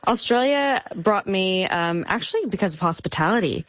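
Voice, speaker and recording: A woman from the United States, a bartender guest on a radio show, upbeat and quick to laugh. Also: medium pitch, lively intonation, no room sound. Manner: bright, upbeat, laughs easily. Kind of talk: interview over a phone line. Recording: dry, dull phone-line audio.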